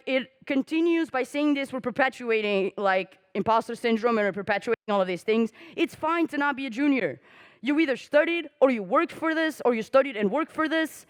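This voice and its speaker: A young adult woman whose first language is Portuguese, giving a candid, self-mocking conference talk on stage, swearing casually and acting out quoted conversations. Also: no echo. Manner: acts out quoted dialogue with jumps in pitch. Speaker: conference speaker.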